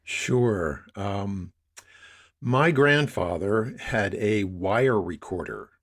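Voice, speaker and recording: An older man being interviewed as a podcast guest, telling stories conversationally in a halting, rambling way. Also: fairly low pitch, animated. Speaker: podcast guest, media producer.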